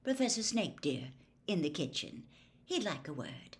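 A woman plays a motherly middle-aged witch in a storybook reading. The delivery is brisk and gently bossy, with a lively rise and fall. A mild reproof sits under the words. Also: on the low side. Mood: gently reproving. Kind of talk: audiobook character voice.

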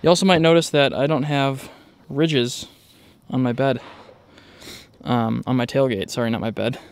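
Male vlogger, clean smooth voice, informal off-the-cuff walkaround delivery recorded outdoors. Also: medium pitch, fairly even intonation, moderate pace, no echo. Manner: rambling, informal. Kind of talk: casual walkaround narration. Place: outdoors in a driveway beside a truck.

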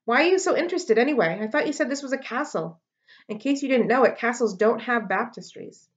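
Bossy tough girl, blunt and loud, read by a woman; higher, brighter than the narration, muffled lo-fi sound. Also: animated, brisk, lightly acted. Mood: scornful.

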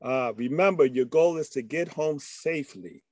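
A man's voice: fairly high pitch, normal speed, a little mellow, no echo. An older man giving a slide presentation to a discussion group over a video call. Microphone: video call microphone.

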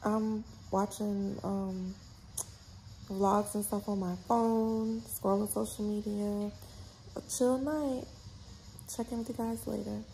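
A chatty woman talks into a phone held close in a small bedroom. Her mid-pitched voice has a gravelly fry to it, and faint room noise hums behind her. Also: steady tone, slow pace, mellow, low-quality recording. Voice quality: gravelly morning voice. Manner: chatty, laughing, addresses viewers as y'all. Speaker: vlogger. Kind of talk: casual chat to camera. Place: small bedroom at home, indoors. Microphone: phone held close or propped at arm's length.

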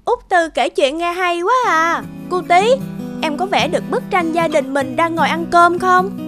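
High-voiced, encouraging big sister. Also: female voice, normal speed, music in the background. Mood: cheerful, encouraging. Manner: encouraging, gently instructive. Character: kind, encouraging big sister, a village girl. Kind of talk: cartoon voice acting.

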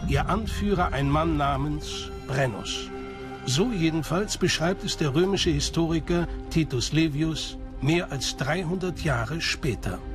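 A man's voice: on the high side, measured pace. A man reading scripted documentary narration. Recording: music behind the voice. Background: background music.

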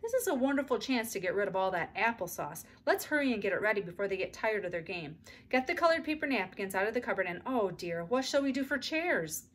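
A woman voicing a practical, harried mother in a storybook, brisk and matter-of-fact. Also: medium pitch, sing-song, slight background noise, close to an everyday voice.

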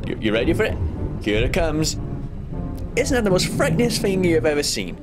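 A man's voice: on the high side, sing-song, brisk, over loud music. A young man giving an exasperated, sweary, informal comedic game review. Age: young adult. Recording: noisy home room recording.

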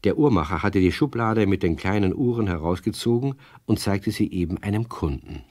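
A man's voice: low-pitched, animated, brisk, a little mellow, no echo, studio quality. A man narrating a scripted children's radio play in a storytelling style.

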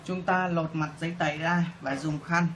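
A man's voice: on the high side, steady tone, normal speed. A man giving step-by-step tutorial instructions as a demonstrator. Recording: clearly noisy room recording.

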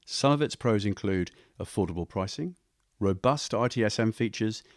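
A man reading a scripted explainer voice-over for a software review video, recorded close to the mic. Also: on the low side, expressive, normal speed, no echo.

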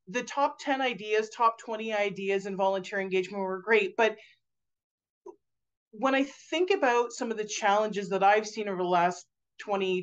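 A woman in her forties, a guest on a video call interview, talking loudly and animatedly with lots of fillers and restarts. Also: mid-range voice, dull audio. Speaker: guest author. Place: home room indoors. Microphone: video call webcam.

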